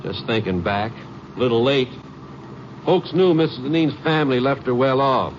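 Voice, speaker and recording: An actor playing a folksy, cautious Texas sheriff in a 1950s radio drama, talking in a conversational, questioning way. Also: male voice, mid-range voice, normal speed, lightly acted. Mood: thoughtful, puzzled. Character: folksy, cautious small-town Texas sheriff, the Ranger's partner. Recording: muffled, noisy 1950 radio broadcast.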